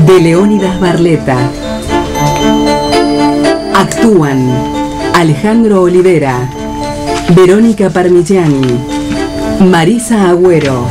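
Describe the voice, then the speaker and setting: Slow Argentine woman announcer over loud music. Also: lowish voice. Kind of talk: scripted radio programme introduction. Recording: music playing behind the voice. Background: prominent music.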